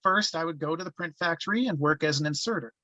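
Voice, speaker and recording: A man's voice: on the high side, fast talker, bright, forceful tone, no echo. A man playing a grown narrator who looks back on his boyhood in a table read. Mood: reflective. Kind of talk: first-person narration in a screenplay table read.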